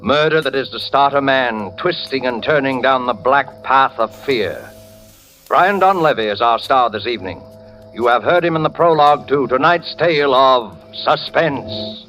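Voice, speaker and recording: A man's voice: mid-range voice, expressive, normal speed, fairly bright tone, rough recording. A man announcing a suspense programme in a dramatic 1940s radio style. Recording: old radio broadcast with music behind.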